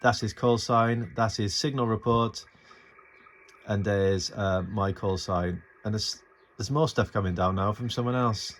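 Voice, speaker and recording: A man's voice: on the low side, fairly even intonation, unhurried. A middle-aged man giving a casual, unscripted and enthusiastic tutorial, talking through each step as he works, recorded on a phone. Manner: enthusiastic, informal, narrating as he works. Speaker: amateur radio tutorial presenter. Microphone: phone camera microphone. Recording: noisy amateur phone video recording.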